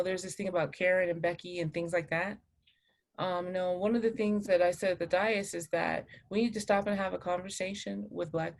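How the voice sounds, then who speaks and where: A middle-aged woman speaking as a panelist in an online discussion, measured, earnest and emphatic, checking in with her listeners. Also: fairly low pitch. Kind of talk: spoken reflection in a live online discussion. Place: video call webinar.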